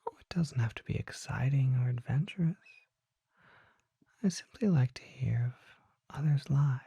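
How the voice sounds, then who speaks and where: A performer voicing a courteous, curious old vampire shopkeeper in a breathy, intimate ASMR roleplay, mostly in whispers, with genteel phrasing. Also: mid-range voice, lively intonation, warm tone, dry recording, exaggerated voice. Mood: coaxing, curious. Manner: slow, genteel, formal phrasing.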